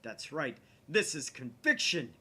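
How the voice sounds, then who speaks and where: Man voicing a pompous, bright-voiced young mad scientist. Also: mid-range voice, sing-song, slightly rough, full character voice. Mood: triumphant. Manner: pompous, declamatory, dramatic pauses. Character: grandiose young male self-styled mad scientist, theatrical. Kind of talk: visual novel voice-over.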